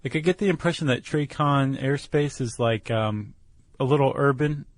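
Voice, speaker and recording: A man co-hosting a podcast, explaining things in an informal, conversational way with loose analogies and a creaky, gravelly voice. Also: medium pitch, normal speed, dry recording, studio quality. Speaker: podcast co-host. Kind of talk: casual explanatory chat.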